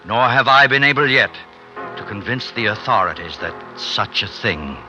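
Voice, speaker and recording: An actor playing a worried, stern friend of a scientist, grave and admonishing, in 1950s radio drama style. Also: male voice, lowish voice, lively intonation, moderate pace, bright, forceful tone, soft background music. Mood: somber narration. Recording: noisy 1950s radio recording.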